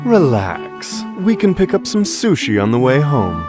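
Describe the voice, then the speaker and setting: A man's voice: mid-range voice, wildly swooping pitch, measured pace. A man playing a refined, teasing celestial attendant in a video game, polite, lilting and genteel. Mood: smooth teasing. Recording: loud game music underneath.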